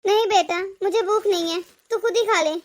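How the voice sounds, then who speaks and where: Woman voicing a high, lonely, regretful mother. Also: a little fast. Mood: sorrowful. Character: regretful, lonely, proud middle-aged mother. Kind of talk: animated family drama dialogue.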